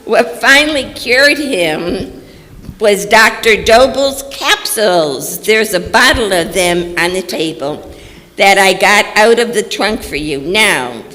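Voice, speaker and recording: A woman plays a folksy, fussing mother who is impossible to rattle. Her voice is forceful and animated, taking its time in a long-reverb hall, in the manner of old radio acting. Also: mid-range voice, takes it slowly. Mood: fussy, unfazed. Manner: fussy, matter-of-fact, folksy. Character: brisk, motherly, unflappable American matron. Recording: echoey hall, live stage performance.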